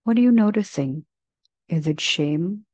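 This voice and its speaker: A woman with a low, mellow voice guides a meditation. Her delivery is gentle and measured, very slow, with long pauses between phrases. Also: lively intonation, no room sound.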